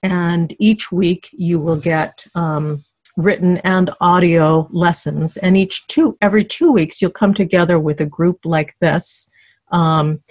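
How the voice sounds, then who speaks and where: Low-voiced woman coaching on a call. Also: fairly even intonation, slow pace, dry recording. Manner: warm encouraging, many fillers and restarts. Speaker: dating coach co-hosting a teleclass. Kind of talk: conversational teaching on a video call. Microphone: video call.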